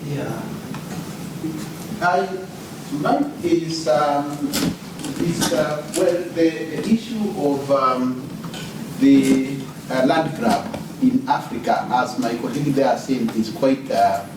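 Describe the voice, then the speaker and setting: A man's voice: mid-range voice, fairly even intonation, slow pace, background noise. A man from Kenya asking a question from the floor at a panel, hesitant, with many fillers and restarts. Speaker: audience member. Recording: echoey hall, far from the mic.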